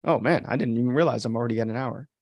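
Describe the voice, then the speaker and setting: A man from the United States talks casually as a livestream host, rambling through his opinions in a conversational way. His medium-pitched voice has a gravelly creak, and the recording is close and dry. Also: rapid-fire, no room sound. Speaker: podcast host and author commenting on own story.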